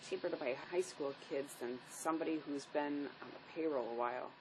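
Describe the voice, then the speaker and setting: A woman's voice: low voice, a little fast, close to an everyday voice. A woman acting a weary, grieving divorced mother in a drama, world-weary and subdued. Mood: grieving, bitter. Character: grieving, weary divorced mother who lost her young son. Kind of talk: stage-style dramatic play acting. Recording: noisy amateur recording.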